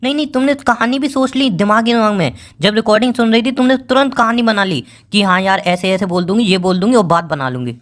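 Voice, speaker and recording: A man's voice: high voice, quick pace, few pauses, fairly bright tone. A man plays a bitter young boyfriend in a romantic phone-call drama. He talks in casual, colloquial words, with an accusing, sarcastic and distrustful manner.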